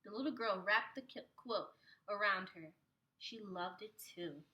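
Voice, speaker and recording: Woman, bright clear-cut tone, mid-pitched, expressive storybook read-aloud, teacherly, animated phrasing. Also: measured pace, dull audio. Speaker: preschool teacher reading aloud.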